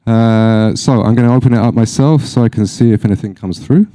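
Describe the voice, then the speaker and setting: A man moderating a conference panel, explaining things in a relaxed, hesitant way with many fillers. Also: on the low side, fairly quick, no room sound.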